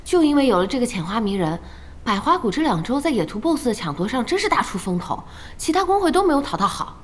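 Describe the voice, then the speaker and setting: High, animated young woman gamer. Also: fast talker. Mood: gossipy, amused. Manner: chatty, nagging. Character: outspoken, gossipy, impatient young woman gamer. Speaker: voice actor in a radio drama. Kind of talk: animated audio drama dialogue. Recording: faint music behind the voice.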